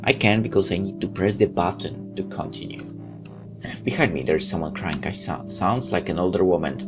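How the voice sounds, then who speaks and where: Very deep-voiced man reading a visual novel. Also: brisk. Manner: wry, dramatic reading with stumbles. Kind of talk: let's play read-aloud with joking asides. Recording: music behind the voice. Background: game music with guitar.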